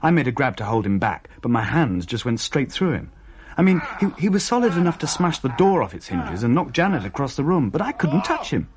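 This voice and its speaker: An actor as a wry family man narrating a ghost story in a radio play. His voice swings widely in pitch around a middle register, and he tells it dryly but vividly. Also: big pitch swings, fairly quick, crowd noise, natural voice. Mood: tense, vivid. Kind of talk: radio drama narration in dialogue with another narrator.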